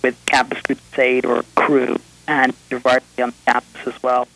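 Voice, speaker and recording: Irish man calling in, measured. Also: medium pitch, completely flat delivery, gravelly, a bit bright, poor audio. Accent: Irish, partly Americanized. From Republic of Ireland. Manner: measured, explanatory, hesitant with pauses. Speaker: guest author and lawyer. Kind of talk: call-in radio interview. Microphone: telephone line.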